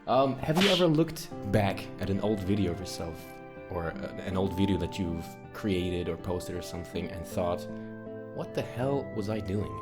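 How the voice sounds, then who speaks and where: A non-native English-speaking man with a fairly low voice interviews casually as a podcast host. Guitar music plays clearly beneath his talk. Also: animated, normal speed. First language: not English.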